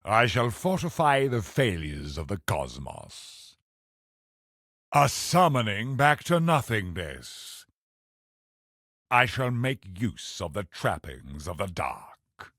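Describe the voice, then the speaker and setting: Husky, slow, doom-laden cosmic sorcerer. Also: male voice, lowish voice, very animated, fairly bright tone, dry recording, studio quality, exaggerated voice. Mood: dark, incantatory. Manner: solemn, portentous, dramatic slow delivery. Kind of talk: theatrical video game villain voice lines.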